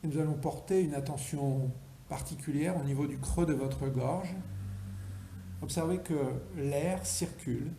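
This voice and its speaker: A man with a deeply mellow, relaxed tone leads a yoga nidra session. He gives instructions slowly and deliberately, leaving space between phrases. The recording is noticeably roomy, with faint noise underneath. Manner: slow, deliberate, instructing with pauses. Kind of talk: guided relaxation meditation.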